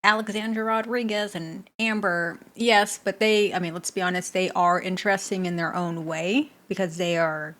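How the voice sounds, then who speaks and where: A woman's voice: on the low side, fairly even intonation, moderate pace, few pauses, a bit bright. A woman from the Bay Area in California hosts a reaction video, chatting casually, laughing often and talking back to the clip. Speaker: reaction channel host.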